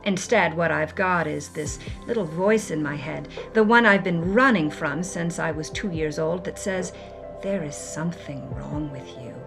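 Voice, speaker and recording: A woman's voice: low-pitched, normal speed, creaky, over music. A woman playing a jaded, wry 1950s movie actress on the run, in a theatrical, confessional monologue. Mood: brooding. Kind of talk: dramatic first-person monologue.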